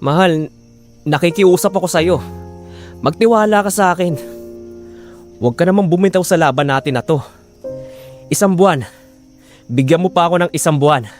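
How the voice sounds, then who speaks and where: A man's voice: fairly high pitch, normal speed, long pauses, a little mellow, faint music behind, close to an everyday voice. A man acting as a struggling, steadfast husband and father, earnest and imploring, in an audio drama. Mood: pleading. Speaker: voice actor.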